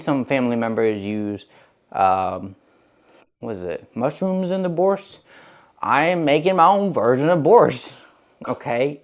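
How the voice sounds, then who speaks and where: A young man chats his way through a cooking stream at an ordinary, middle pitch. His talk rambles, full of restarts and trailing asides. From grew up speaking Russian, learned English at eight. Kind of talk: casual cooking chat.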